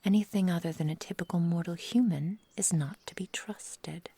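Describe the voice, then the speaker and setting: A woman playing an adoring, possessive immortal forest witch in an intimate ASMR roleplay, with soft whispery speech, tender endearments and formal storybook phrasing. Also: fairly low pitch, normal speed, husky, dry recording. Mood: bitter. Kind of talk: intimate ASMR roleplay, slow dramatic delivery.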